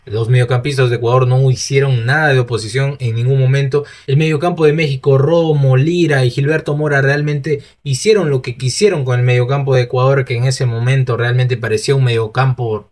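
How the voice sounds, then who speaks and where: Peruvian Spanish man, mid-pitched, chatty solo pundit who restarts lines and asks himself questions. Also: fairly even intonation, a little fast, few pauses, darkish tone. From Peru. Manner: talkative, restarts often, rhetorical asides. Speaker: football opinion host. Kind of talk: solo opinion commentary.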